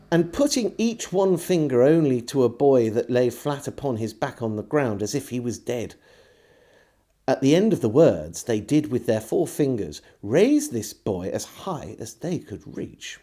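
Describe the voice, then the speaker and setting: Expressive storyteller, man, lively swooping pitch. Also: unhurried. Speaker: storyteller reading a historical diary aloud. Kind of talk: dramatised audiobook narration of a 17th century diary.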